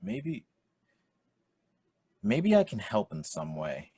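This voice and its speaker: Husky, animated young man actor. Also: on the low side, slow pace, heavily acted. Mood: earnest, concerned. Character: earnest, supportive young male friend. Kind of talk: modern radio play acting.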